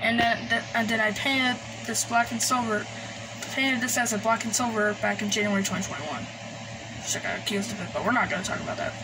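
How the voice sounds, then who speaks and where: A woman with a fairly high voice talks casually through a show-and-tell, hesitant and rambling. She is recorded on a handheld phone indoors, with clear noise behind her. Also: a little fast. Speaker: collector presenting gadgets. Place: small indoor room at a desk. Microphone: handheld phone camera. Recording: noisy handheld recording, background hiss. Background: noise and radio devices.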